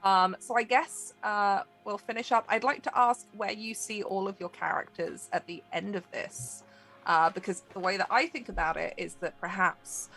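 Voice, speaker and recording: A woman's voice: medium pitch, fairly even intonation, moderate pace, creaky, a bit bright, faint music behind, low-quality recording. A woman running a tabletop game as game master, improvising narration in loose, meandering phrases.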